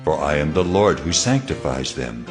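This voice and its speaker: An actor playing God as a solemn, commanding lawgiver in a dramatized scripture reading. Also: male voice, very deep voice, expressive, moderate pace. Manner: solemn, declarative. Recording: long cavernous reverb, music underneath. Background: music.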